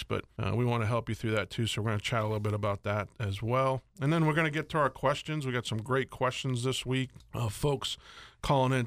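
A man's voice: medium pitch, a bit bright, clean tone, dry recording. A middle-aged man hosting a radio show on money and retirement, chatty and upbeat, slipping jokes in between the advice. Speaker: radio show host, financial advisor. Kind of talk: casual radio talk. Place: radio studio.

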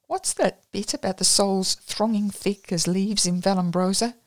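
A hoarse, brandy-soaked young man in grief, voiced by a woman reader, rambling in a low, maudlin way. The recording is close and dry. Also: steady tone, moderate pace, no echo, natural voice. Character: grieving, drunk young male poet.

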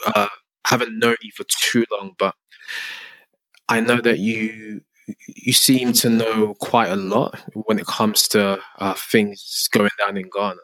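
A man's voice: on the low side, steady tone, unhurried, fairly bright tone, no echo, rough recording. A man hosting a podcast, chatting casually with a guest, with many fillers and restarts. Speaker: podcast host. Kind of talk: casual interview chat. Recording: dry with faint music.

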